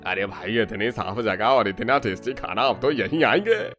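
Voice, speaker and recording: A man's voice: lowish voice, lively intonation, moderate pace, a bit bright, soft background music. A man playing a blunt, chatty, exclamatory local fellow in a cartoon. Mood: impressed. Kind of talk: scripted cartoon dialogue.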